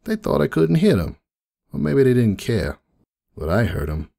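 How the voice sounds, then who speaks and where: A man voicing a jaded, rueful middle-aged pony who runs a donut shop, rambling folksily in a confiding dramatic monologue with a gravelly voice. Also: medium pitch, sing-song, normal speed, warm tone. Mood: bitter, seething. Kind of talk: dramatic first-person monologue reading.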